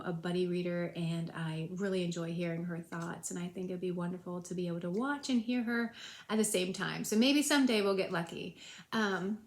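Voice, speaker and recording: A young adult woman with a congested voice from a cold, chatting casually about her reading in a rambling way, with many ums. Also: mid-range voice. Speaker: booktuber. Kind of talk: casual reading update vlog. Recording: echoey room.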